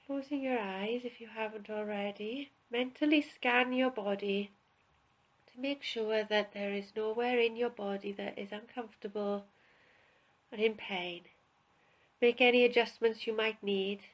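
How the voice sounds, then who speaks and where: A woman instructor guiding a relaxation session in a calm, unhurried, instructional way with pauses. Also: fairly high pitch, rough recording. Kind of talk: guided relaxation, spoken instruction.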